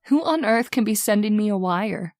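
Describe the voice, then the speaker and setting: A woman's voice: medium pitch, lively intonation, normal speed, darkish tone, dry recording, studio quality, lightly acted. A woman playing an anxious, devoted young wife and daughter in an old-time radio horror drama, earnest and melodramatic. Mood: worried, pleading. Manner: earnest, melodramatic, formal phrasing. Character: anxious, devoted young married daughter narrating her haunting.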